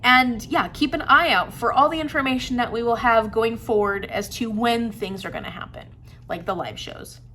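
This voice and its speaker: A woman's voice: fairly high pitch, normal speed, pushed and bright, slight background noise. A young woman chatting casually in an upbeat, enthusiastic vlog, laughing often. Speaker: booktuber.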